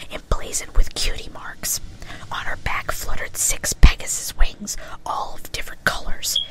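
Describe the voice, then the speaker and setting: A woman narrator reads a story in a heavy, breathy whisper. The delivery is in ASMR style, and music plays underneath. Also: very high-pitched, rough recording.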